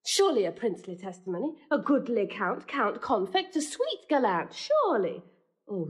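An actress playing a fierce, indignant noblewoman in a passionate, declamatory Shakespearean style. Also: a little high. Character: Beatrice, fierce, indignant noblewoman raging for her wronged cousin. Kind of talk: classical Shakespearean stage acting.

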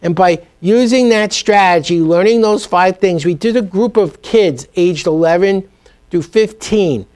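A man's voice: high-pitched, a little slow, darkish tone. A middle-aged man being interviewed in a TV studio, talking emphatically and energetically about learning. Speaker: guest, speed reading teacher. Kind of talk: TV business interview. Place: TV studio set.

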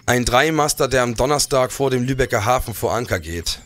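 A man's voice: medium pitch, animated, brisk, fairly bright tone, natural voice. An actor playing an earnest, dramatic policeman investigating a crime in a historical audio drama. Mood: explaining. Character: male security policeman investigating and narrating a historical crime case. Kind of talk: German audio drama acting.

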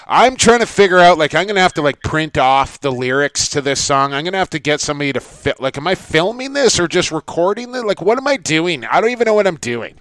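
A man's voice: a little high, big pitch swings, fast talker, few pauses, a bit bright, no room sound. A man co-hosting a podcast, chatting casually and telling long self-deprecating stories. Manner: rambling, self-deprecating storyteller. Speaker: podcast co-host.

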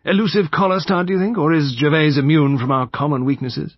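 A man voicing a genial, bluff elderly soldierly gentleman with an upper-class English accent in an audiobook. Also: fairly high pitch, steady tone, fairly quick, husky, no echo, muffled recording, pitched above the performer's own voice. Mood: jovial. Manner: hearty, bluff.

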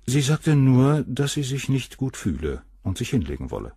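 A man's voice: medium pitch, sing-song, moderate pace, warm tone, breathy edge, no echo, studio quality. An actor in a German crime radio play playing a cold, cynical middle-aged surgeon, detached and coolly superior as he answers questions. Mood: detached, explanatory.

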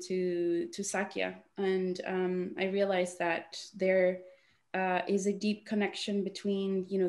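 A young woman from Honduras, a guest artist talking on a video call in a halting way, full of fillers and restarts. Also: mid-range voice, a little mellow. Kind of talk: casual webinar talk.

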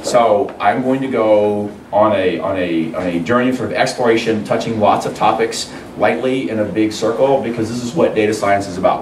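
A middle-aged man with a cold and a hoarse voice, giving a rambling, conversational university lecture with many restarts. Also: fairly low pitch. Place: large lecture hall. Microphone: far room mic. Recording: echoey lecture hall from far off, noisy.

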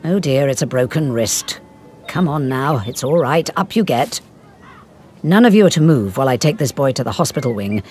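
An older British flying teacher in a video game. She is commanding and crisp, strict but kindly underneath, and her pitch moves about a great deal over soft music. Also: expressive, moderate pace. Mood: concerned. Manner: crisp, commanding, schoolmistress delivery. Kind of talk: video game cutscene acting.

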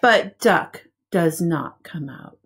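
A woman in her forties reading a picture book aloud to young children as a preschool teacher, with simple, expressive phrasing. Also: lowish voice, slow, slight background noise.

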